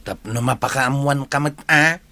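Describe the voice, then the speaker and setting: A man's voice: medium pitch, normal speed, pushed and bright, lightly acted. An actor with an Ilocano Filipino accent playing an eager, coaxing village man in a radio comedy drama.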